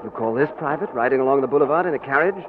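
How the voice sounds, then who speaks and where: An actor as a jovial English gentleman. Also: mid-range voice, very fast, lightly acted. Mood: flirting. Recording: tinny old radio broadcast with faint hiss.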